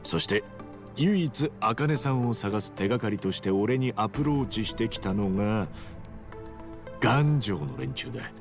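An actor playing a rough, earnest, big-hearted hero in his forties, speaking bluntly in casual street Japanese in a video game cutscene. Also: lowish voice, big pitch swings, unhurried, music in the background. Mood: serious, intent.

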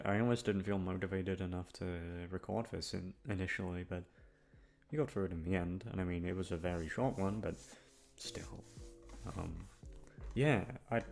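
Young guy, low voice w lots of fry, hesitant rambly chat to listeners, bit sweary. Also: a little mellow. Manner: hesitant, self-deprecating, mildly sweary. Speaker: audio roleplay creator.

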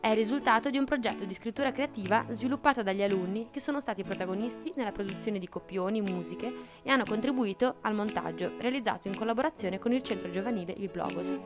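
A woman's voice: mid-range voice, fast talker, hardly any pauses. A young woman reading scripted narration to introduce a radio drama. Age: young adult. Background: guitar music.

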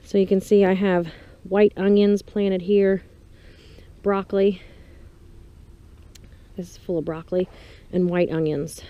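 A woman vlogger giving a chatty, casual walk-through of her garden, pausing between short phrases. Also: mid-range voice, little pitch movement, lo-fi sound. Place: small greenhouse and open farm garden, outdoors. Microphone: action camera's built-in mic, worn or handheld. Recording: outdoor handheld action camera with background noise. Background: clear ambient outdoor noise.

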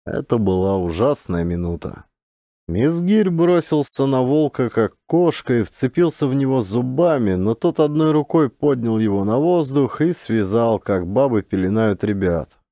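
A man reading a literary story as an audiobook narrator, in an expressive, measured storytelling manner. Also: medium pitch, dry recording, studio quality.

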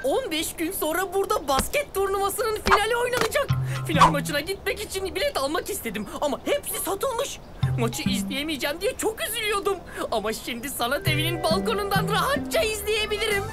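Hevesli, ikna edici, biraz buyurgan küçük bir kızı seslendiren bir kadın. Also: female voice, very high-pitched, fairly quick. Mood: excited. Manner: eager, excitable, persuasive. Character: spirited, bossy young girl Zeytin. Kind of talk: Turkish cartoon dub. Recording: loud cartoon music behind.

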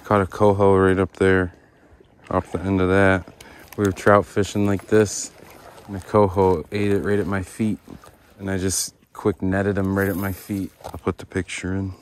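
A man's voice: deep voice, moderate pace, slight background noise. A young man filming himself fishing at a river, chatting casually to a body-worn camera. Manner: casual, talks to the camera while fishing, gets excited at fish. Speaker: fishing vlogger. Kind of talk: casual outdoor vlog narration. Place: outdoors by a river. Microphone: action camera worn on the body.

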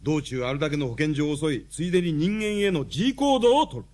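Male actor as radio-drama revolutionary chairman: crisp, authoritative, stern yet patient, slightly high-pitched. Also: expressive, brisk, a little mellow, natural voice. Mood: commanding. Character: brisk, commanding young revolutionary chairman, stern but patient. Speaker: leader giving a briefing.

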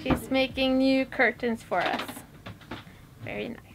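A woman's voice: high voice, level tone, unhurried, over music. A woman with a Swedish accent chatting casually for a travel vlog. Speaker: sailing vlogger.

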